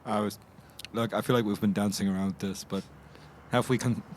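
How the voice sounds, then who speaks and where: A man playing an awkward, earnest investigator who speaks haltingly and apologetically in improvised role-play. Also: on the low side, steady tone, a little hiss or hum, natural voice. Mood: sheepish.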